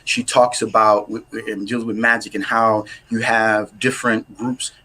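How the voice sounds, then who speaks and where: Bright-voiced man, low pitch, rambling. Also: flat delivery, moderate pace, rough recording. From United States. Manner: rambling, many fillers and restarts, warm laughs. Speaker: author and interview guest. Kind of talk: casual conversational interview.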